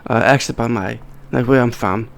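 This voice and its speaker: A middle-aged man with a deeply gravelly, fry-heavy voice talks in a casual, blunt way and swears freely. He often restarts his sentences, and a faint hiss sits under his words. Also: normal speed. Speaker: podcast guest, wedding videographer. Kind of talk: casual conversation.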